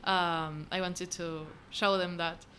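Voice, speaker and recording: Bright-voiced young Argentinian woman. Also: lowish voice, level tone, a little hiss or hum. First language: Spanish. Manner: halting, searching for words. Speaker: interview guest, volunteer. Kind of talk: casual interview answers.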